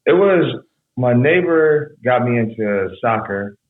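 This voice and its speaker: A young man, a podcast guest, telling his story in a relaxed, rambling way. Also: mid-range voice, slow, faint music behind. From San Jose, California. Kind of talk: casual conversation. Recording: tinny phone-like echoey sound.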